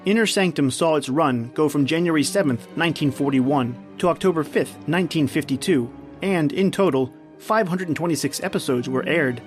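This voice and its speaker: A man's voice: a little high, normal speed. A man hosting a podcast with a scripted, theatrical introduction in horror-anthology style. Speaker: podcast host. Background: music.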